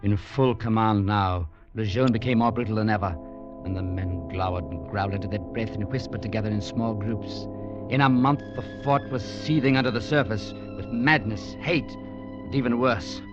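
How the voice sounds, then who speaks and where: An actor playing a weary young legionnaire, gravely and eloquently narrating desert hardship in a 1940s radio drama. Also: male voice, fairly low pitch, moderate pace, lightly acted. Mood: grim, foreboding. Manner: grave, literate storytelling. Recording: muffled vintage radio recording, music behind.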